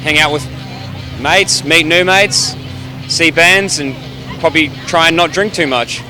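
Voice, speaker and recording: A man's voice: a little high, unhurried, bright, forceful tone, soft background music, low-quality recording. A man with a New Zealand accent, chatting casually and laid-back in an outdoor street interview. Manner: laid-back, swearing, rambling. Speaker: festival attendee. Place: outdoor parking lot.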